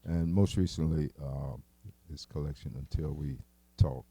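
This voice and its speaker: A man leading a poetry workshop, introducing a colleague and himself in a halting way with many fillers. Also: rumbling low, fairly even intonation, gravelly, warm tone, husky. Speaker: workshop leader.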